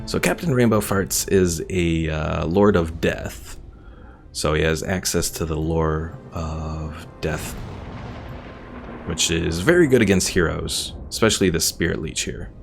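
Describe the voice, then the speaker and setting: A man's voice: sits low, a little slow, creaky, faint music behind. A man streaming a strategy game, casually explaining its mechanics as he plays. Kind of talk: casual gameplay commentary.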